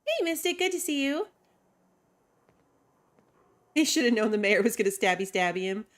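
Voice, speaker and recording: A woman's voice: fairly high pitch, big pitch swings, normal speed. A woman streaming a video game, chatting casually and rambling with lots of "like". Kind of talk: casual gameplay commentary.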